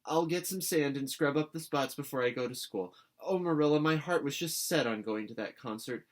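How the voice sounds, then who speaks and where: A man voicing an excitable, dramatic young orphan girl in a storybook reading, gushing and pleading earnestly. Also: fairly high pitch, brisk, voice pushed up, lightly acted. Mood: repentant, earnest.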